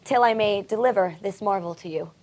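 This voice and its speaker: A woman's voice: mid-range voice, a little fast, a little mellow, a little hiss or hum, natural voice. A Canadian woman reading a loyal young friend. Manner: reads with laughs between lines. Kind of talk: casual table read of a classic play.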